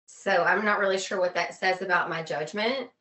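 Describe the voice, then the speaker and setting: A woman's voice: lowish voice, normal speed, sharp tone. A woman chatting casually and informally as a guest in an interview. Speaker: guest, expedition group co-founder.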